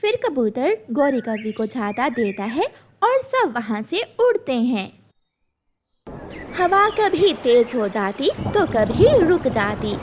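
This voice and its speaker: High, lively woman storyteller. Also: takes it slowly, a little hiss or hum. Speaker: narrator. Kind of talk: children's cartoon story narration.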